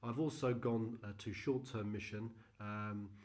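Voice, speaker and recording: Earnest middle-aged man with a low voice. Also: steady tone, a little mellow. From United Kingdom. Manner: earnest and measured, with frequent ums and uhs. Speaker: mission partner presenting to a church. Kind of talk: recorded slide presentation.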